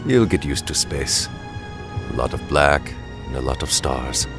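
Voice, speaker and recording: A man playing a seasoned alien space pilot, calm, grave and terse. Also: extremely low pitch, a little slow, vocal fry, music in the background, heavily acted. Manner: terse, matter-of-fact.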